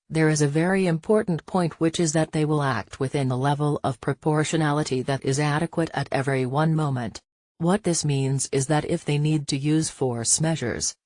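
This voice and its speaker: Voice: medium pitch, no echo, studio quality. A synthetic-sounding voice reads a news interview aloud as a script, speaking the question and answer labels along with the text. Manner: even, unhurried read-out, speaking the interviewer and guest labels aloud. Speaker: news reader.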